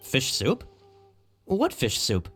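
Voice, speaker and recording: Excitable, flustered young male underling. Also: mid-range voice, slow pace, slightly rough, heavily acted. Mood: defensive, flustered. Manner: deferential, emphatic, excitable. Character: earnest, flustered young male subordinate investigator. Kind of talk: video game voice acting. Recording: music playing behind the voice.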